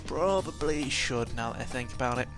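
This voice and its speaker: A man's voice: mid-range voice, lively intonation, normal speed. A man giving a casual, jokey audio log about video games, rambling and interrupting himself with exaggerated emphasis. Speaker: video game vlogger. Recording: music playing behind the voice. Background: clear background game music.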